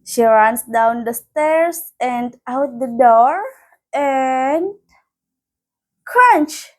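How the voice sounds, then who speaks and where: A woman reading a children's story aloud in a careful, deliberate, expressive way. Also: high voice.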